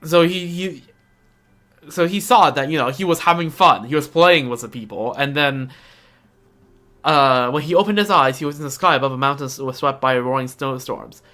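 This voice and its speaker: A man who speaks English as a second language, streaming a game with casual, halting commentary and lore reading. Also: a little high, sharp tone. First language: not English. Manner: halting, casual, reacting aloud.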